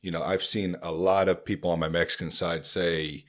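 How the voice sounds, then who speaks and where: A man's voice: sits low, little pitch movement, moderate pace, a bit bright. A man hosting a podcast, talking earnestly in a loose, rambling way full of fillers and restarts. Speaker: podcast host. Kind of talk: casual conversational podcast.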